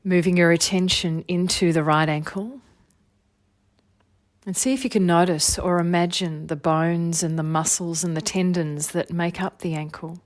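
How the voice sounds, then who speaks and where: A woman leading a guided meditation as a teacher, giving gentle, soothing body-scan instructions in an unhurried way. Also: on the low side, fairly even intonation, dry recording, clean studio sound. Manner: soothing, unhurried, gentle instruction with long phrases trailing softly.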